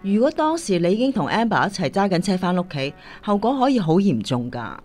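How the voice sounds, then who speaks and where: A woman's voice: medium pitch, big pitch swings, a little fast, a little mellow, faint music behind. A woman acting in a Cantonese radio drama as a caring, firm singing teacher, earnest and admonishing, with a Hong Kong accent.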